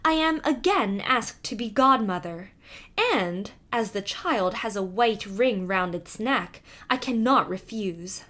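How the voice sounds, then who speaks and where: A sly, greedy she-cat from a fairy tale, as a woman narrator puts her on. She talks slowly with lively swoops, smug and coolly dismissive. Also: medium pitch. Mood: coaxing, sly.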